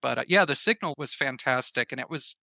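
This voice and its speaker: A man with a bright, forward voice talks casually as a podcast guest. His pitch sits in the middle range, and the sound is dry and close, with no room around it. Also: brisk, creaky, no echo. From American Southeast. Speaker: podcast guest, writer and filmmaker. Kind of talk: casual interview chat, giving advice.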